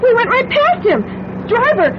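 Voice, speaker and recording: An actress playing a naive, anxious young bride-to-be in a radio drama, with an earnest, flustered manner. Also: female voice, sing-song, normal speed. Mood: distraught. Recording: dull, noisy old radio recording, vehicle rumble.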